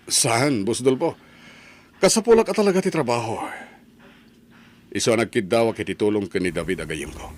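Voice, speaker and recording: A man's voice: mid-range voice, lively intonation, normal speed, long pauses, a little mellow, natural voice. A man acting an earnest, restless son who wants work, in an emphatic radio-style drama manner. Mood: eager, earnest.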